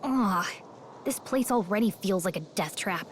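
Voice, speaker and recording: An actress voicing a tiny, feisty fairy companion in a fantasy video game, chatty and cheeky. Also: female voice, mid-range voice, expressive, measured pace, a little hiss or hum, close to an everyday voice. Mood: concerned, wry.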